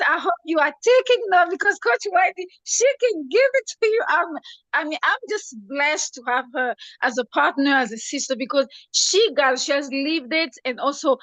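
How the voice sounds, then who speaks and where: A woman's voice: sits high, a bit bright, no echo, low-quality recording. A woman from the Congo, hosting a talk show and chatting enthusiastically, with many hesitations. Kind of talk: casual talk-show chat.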